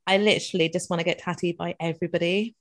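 A woman's voice: on the low side, steady tone, rapid-fire, fairly bright tone. Giggly Scottish woman co-hosting a podcast. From Scotland. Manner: giggly, teasing, sweary. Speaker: podcast co-host. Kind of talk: casual chat.

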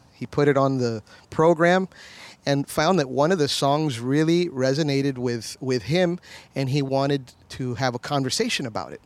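Male pastor, 50s, from Miami; sincere storyteller, mid-pitched voice, dry close recording. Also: dry recording. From Miami, USA. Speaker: pastor and guest. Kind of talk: conversational interview.

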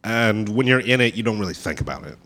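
A man's voice: lowish voice, lively intonation, fast talker, bright, forceful tone, slight background noise. A man guesting on a live podcast in a coffee shop, stuffy from allergies, self-deprecating and swearing as he talks. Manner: self-deprecating, profane, trails off. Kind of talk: casual live podcast banter.

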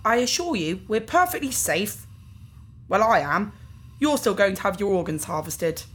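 A woman's voice: medium pitch, lively intonation, moderate pace, fairly bright tone, lightly acted. A woman playing a cold, dismissive captor aboard a spaceship in a science fiction audio drama, haughty in manner. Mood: smug.

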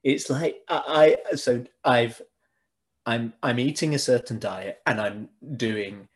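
A man, speaking as a guest on a conversational podcast. He explains his thinking earnestly, with plenty of ums and restarted sentences. His voice sits at a middle pitch for a man. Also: takes it slowly. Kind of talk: casual interview chat.

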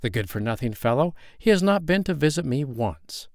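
A fussy, worn-out mother duck, as a man puts her on for a storybook, fretful and motherly. The voice climbs high and swings widely. It is close and dry, with no room sound. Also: big pitch swings, fairly quick, no room sound. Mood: weary.

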